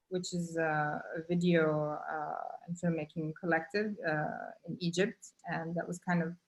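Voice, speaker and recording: A woman artist telling a story in a casual conversation, halting often with fillers, over a video call. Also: fairly low pitch, rough recording. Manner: halting, many "you know, like" fillers. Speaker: guest artist.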